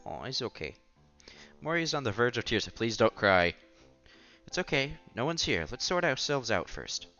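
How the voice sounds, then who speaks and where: Bright-voiced, animated man reading aloud. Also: mid-range voice, moderate pace, dull audio. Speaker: streamer reading a visual novel aloud. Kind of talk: casual read-aloud with offhand commentary. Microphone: headset. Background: soft music.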